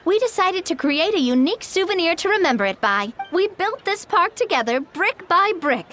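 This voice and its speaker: A woman's voice: extremely high pitch, very animated, normal speed, fairly bright tone, full character voice. A woman voicing a flamboyant, playful and grandiose showrunner in an anime game, speaking with theatrical flourishes over music. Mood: upbeat. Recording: music behind the voice.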